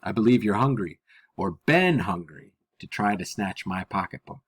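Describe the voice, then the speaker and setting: A man plays a stern but kind-hearted older working woman from a storybook. The talk is blunt and folksy, husky in tone and swinging widely in pitch. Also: lively intonation, moderate pace. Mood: scolding, firm. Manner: folksy, blunt, nonstandard grammar.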